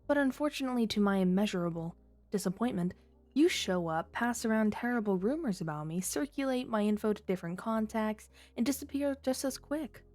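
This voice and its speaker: A woman's voice: mid-range voice, expressive, a little fast, a little mellow, dry recording, natural voice. A woman playing a flirty, teasing female criminal who toys with a detective in a coy, sultry ASMR roleplay. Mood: coy, reproachful. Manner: coy, sultry, playful innuendo.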